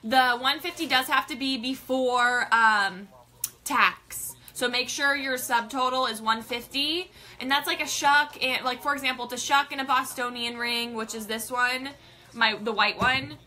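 Bubbly bright young woman live-selling. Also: sits high, steady tone, normal speed. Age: young adult. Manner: bubbly, greets viewers by name, reads comments aloud. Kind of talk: chatty live-stream selling. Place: small indoor room with a colorful backdrop.

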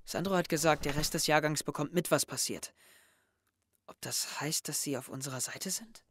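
An actor playing a brooding, hesitant teenage schoolboy in a naturalistic audio drama. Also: male voice, on the high side, normal speed, a bit bright, slight background noise, lightly acted. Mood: pensive, subdued.

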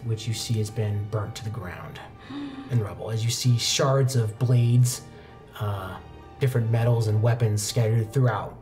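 A young man narrating a tabletop roleplaying game as game master, telling the story in a measured way with pauses. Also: medium pitch, darkish tone. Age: young adult. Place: indoor studio set, seated at a desk. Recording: background music under the voice.

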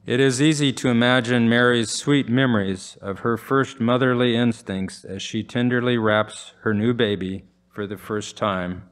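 An older man, around his seventies, preaching in a measured, formal way as he reads from a script at a church pulpit microphone. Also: mid-range voice, steady tone. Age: older, about 70s. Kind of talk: sermon read from a script, with scripture readings. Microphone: pulpit microphone on a gooseneck stand.

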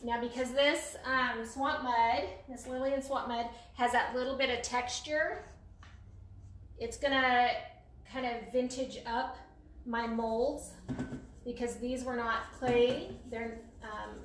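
A middle-aged woman chatting casually to a live stream while working, unhurried and trailing off as she demonstrates a craft. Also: fairly high pitch, steady tone. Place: indoor craft paint shop. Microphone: phone or camera a few feet away. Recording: roomy echo, clear noise, clicks.

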